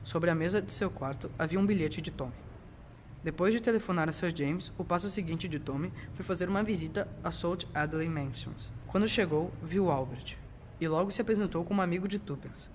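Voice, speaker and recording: A man's voice: high-pitched, a little fast, slight background noise. A young teenage boy with a Brazilian accent reading scripted narration in a 1940s-style school radio drama.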